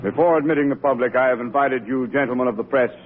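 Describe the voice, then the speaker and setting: An actor playing a formal, businesslike museum director in a declamatory old radio drama style. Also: male voice, medium pitch, fast talker, lightly acted. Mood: formal, confident. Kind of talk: 1940s radio drama acting. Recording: tinny noisy old radio recording.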